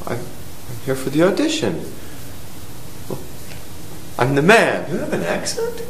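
A nervous young actor, played by a young man, who talks high and slow, with pitch that leaps around and stammers. His voice rings in a roomy stage space over a faint hiss. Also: wildly swooping pitch, fairly bright tone, full character voice. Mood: defensive. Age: young adult. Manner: stammering, anxious, prone to outbursts. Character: young nervous male actor, anxious and excitable. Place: small dark theater stage.

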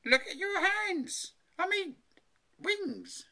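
A shrill, melodramatic mother from a comic children's story, as put on by the storyteller. The voice is pitched far up and pushed bright and forceful. She is bossy and exclaims everything with exaggerated drama. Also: very animated, very slow, vocal fry, exaggerated voice. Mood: horrified. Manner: exclaiming, over-dramatic, stammering in shock.

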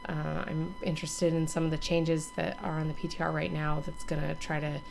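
A hoarse woman in her thirties, still recovering from illness, gives a rambling, casual channel update to a webcam from her home gaming room. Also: sits low, level tone, brisk, noisy background. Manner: rambling, many ums and restarts. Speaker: gaming streamer and YouTuber.

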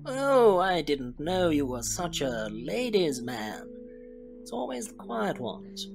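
Slow-talking, sardonic rogue. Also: male voice, fairly high pitch, sing-song, creaky, faint music behind, full character voice. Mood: wry, cajoling. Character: slick, sardonic male rogue, cheeky schemer. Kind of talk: dubbed film comedy acting.

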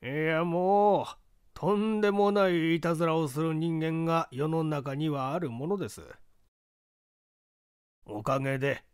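A man's voice: on the high side, measured pace, smooth, dry recording, clean studio sound, voice pushed up, close to an everyday voice. A man voicing a wealthy, jokey merchant of sixty, shaken, with wordy, old-fashioned courtesy, in a story reading. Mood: exasperated.

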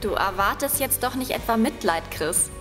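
A woman's voice: fairly high pitch, animated, moderate pace, in a large hall, over music, close to an everyday voice. A woman playing a sensible, matter-of-fact child detective in a children's radio play.

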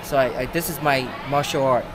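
A man's voice: medium pitch, a little fast, crowd noise. A man in his thirties with an American accent, chatting casually and haggling as a vlogger at a market stall. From United States. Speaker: vlogger and customer. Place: busy covered market stall. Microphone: handheld vlog camera held by him. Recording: noisy market background.

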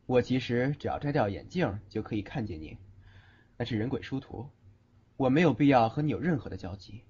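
A man's voice: mid-range voice, lively intonation, a little fast, a little mellow, a little hiss or hum, natural voice. A man playing a curt, cool young male student who sees ghosts, in an audio drama. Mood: cold, stern. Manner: curt, cool, threatening when annoyed. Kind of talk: Chinese audio drama acting. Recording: dull lo-fi audio, background music.

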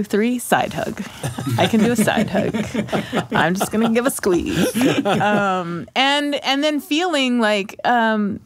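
A woman in casual panel conversation, talking in an earnest, reflective way. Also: medium pitch. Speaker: radio show panelist. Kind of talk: casual chat.

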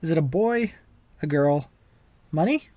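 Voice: mid-range voice, expressive, measured pace, dark tone, dry recording, lightly acted. A performer voicing a wisecracking, manic masked antihero in a comic-book dub, flippant and theatrical but caring underneath. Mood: flippant, joking. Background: background music.

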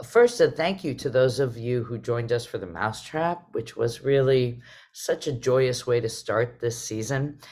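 A woman's voice: extremely low pitch, a little mellow. A middle-aged woman, hosting a theater talk in a conversational way over a video call. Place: indoors on a video call, virtual theater backdrop.

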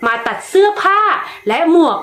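A woman voicing a shoemaker's kindly old wife who speaks eagerly and slowly. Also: a bit bright, roomy sound, lightly acted.

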